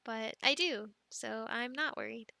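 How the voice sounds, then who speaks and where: Casting: actress for an affectionate, devout young girlfriend in an intimate audio roleplay; bright, leisurely delivery, giggly and hesitant. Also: a little high, gravelly, no echo, heavily acted. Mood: teasing, flustered. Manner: giggly, hesitant, chatty with fillers and pauses. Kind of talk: soft girlfriend roleplay, casual improvised-sounding chat.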